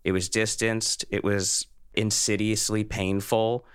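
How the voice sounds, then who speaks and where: A man's voice: on the low side, level tone, unhurried, clean tone. A man hosting an interview podcast, speaking in an earnest, thoughtful, articulate way in reflective, personal conversation.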